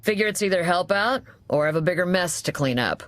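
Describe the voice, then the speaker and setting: Unfazed female cantina owner for a game, woman acting: low pitch, wry, nonchalant delivery, no room sound. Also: animated, a little fast, dry recording, natural voice. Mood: resigned. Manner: sardonic, nonchalant. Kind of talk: video game character acting.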